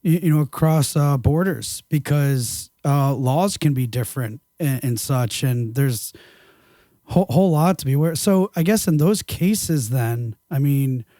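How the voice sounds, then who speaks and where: A man's voice: mid-range voice, measured pace, dry recording, clean studio sound. A man hosting an interview podcast, talking loosely with fillers and restarts. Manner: rambling, relaxed, with filler words. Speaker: podcast host. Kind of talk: casual interview talk.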